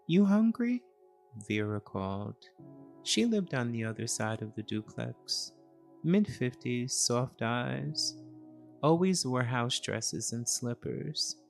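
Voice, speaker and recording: Guy telling a personal story, really unhurried, solemn, mid-pitched voice, soft music behind. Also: expressive, creaky. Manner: solemn, reflective, measured. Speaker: narrator. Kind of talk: scripted first-person memoir narration, cinematic storytelling.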